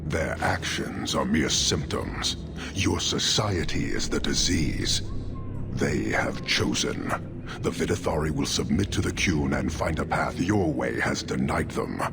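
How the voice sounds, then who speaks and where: An actor voicing a stern, imperious giant warrior commander in a video game, speaking in terse, grave pronouncements. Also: male voice, rumbling low, lively intonation, a little slow, gravelly, background noise, full character voice. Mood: grave resolve. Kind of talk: video game cutscene acting. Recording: loud music under the voice.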